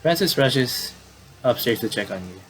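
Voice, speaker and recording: A man doing casual game commentary and reading story text aloud, haltingly and with repeated words. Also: medium pitch, expressive, fairly bright tone. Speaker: gaming video commentator. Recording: clearly noisy home recording.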